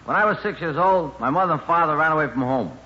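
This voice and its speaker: A man delivering deadpan one-liners as a comedian on a 1950s radio broadcast. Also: a little high, fast talker. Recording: muffled, echoing old radio with faint noise.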